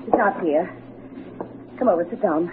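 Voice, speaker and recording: A woman's voice: on the low side, moderate pace, lightly acted. Imperious, needy wife, female actor, dramatic 1940s radio delivery, muffled slightly noisy recording. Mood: curt, irritated. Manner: dramatic, insistent. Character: bored, imperious, needy femme fatale wife. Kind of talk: 1940s radio melodrama acting. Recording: dull 1940s radio recording, light noise.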